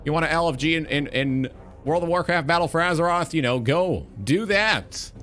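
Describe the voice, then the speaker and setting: A man's voice: on the high side, moderate pace, fairly bright tone, faint music behind. A man hosting a gaming talk show, delivering an opinionated monologue in an emphatic, blunt, sweary way.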